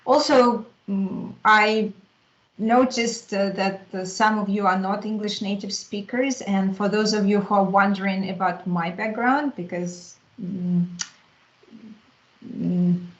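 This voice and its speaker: A woman from Ukraine with a Ukrainian accent giving a measured webinar talk, pausing often with fillers. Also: mid-range voice, steady tone, roomy sound, lo-fi sound. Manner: measured, with frequent fillers and pauses. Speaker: open-source community speaker. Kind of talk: webinar presentation.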